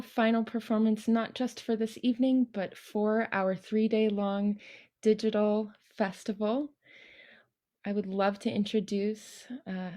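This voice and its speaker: A young woman speaks as a festival host, presenting performers earnestly and with some hesitation. Her voice is soft-edged and mellow, with little movement in pitch. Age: young adult. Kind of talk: introducing performances at a digital arts festival.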